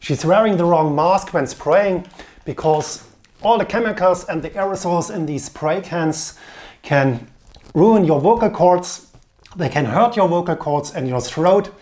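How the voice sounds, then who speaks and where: A man commenting on a bike painting video, explaining tape and materials in an instructive, slightly halting way. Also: high-pitched, animated, darkish tone.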